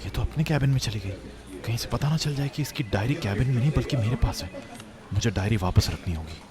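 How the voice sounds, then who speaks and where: A man's voice: mid-range voice, animated, fast, crowd noise, lightly acted. An actor playing a protective, brooding young man in love in an audio romance drama, speaking with earnest emotion. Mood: nervous, guarded. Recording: music bed under voice.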